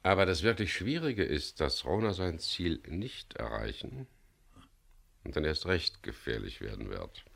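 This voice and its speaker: An actor playing a composed, courteous leader of a risky venture in a radio play, explaining things in a measured way. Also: male voice, extremely low pitch, sing-song, gravelly, fairly bright tone, heavily acted. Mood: grave. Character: composed man, leader of a diamond salvage venture. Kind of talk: 1970s German radio play acting.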